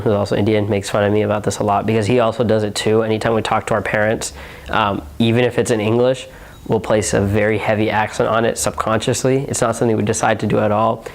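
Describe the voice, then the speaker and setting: A young man from Houston, Texas, a university student, answering interview questions casually with lots of "um" and "like.". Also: fairly low pitch. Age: young adult. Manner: relaxed, heavy on filler words like "um" and "like".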